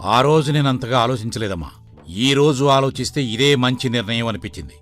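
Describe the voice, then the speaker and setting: A man's voice: mid-range voice, fairly quick, a bit bright, faint music behind, natural voice. Man acting a domineering, greedy middle-aged father. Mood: smooth, evasive. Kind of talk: moral story cartoon dialogue.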